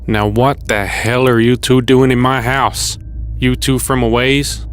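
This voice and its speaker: An actor playing a wary older male survivor in an audio drama, gruff and folksy. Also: mid-range voice, level tone, unhurried, close to an everyday voice. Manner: folksy, plain-spoken. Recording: clear background noise.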